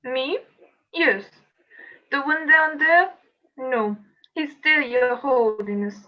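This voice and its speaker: A woman playing a gentle, modest heavenly spirit in an amateur radio play with dull sound. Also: sits high, takes it slowly. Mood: mild, puzzled.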